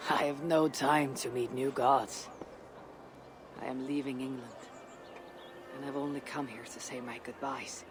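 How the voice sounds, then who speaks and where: A woman playing a weary, proud Viking warrior in a video game, speaking in a husky, measured, dry way. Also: a bass voice, steady tone, background noise. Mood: quiet, resolute. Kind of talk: dramatic video game acting. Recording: music under the voice.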